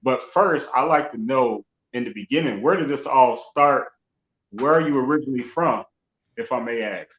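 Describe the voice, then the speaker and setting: A man's voice: mid-range voice, moderate pace, a bit bright. A man hosting an online celebrity interview show, effusive and often dropping conversational tags as he talks. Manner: effusive, repeats "you know what I mean". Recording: roomy echo, dull lo-fi sound.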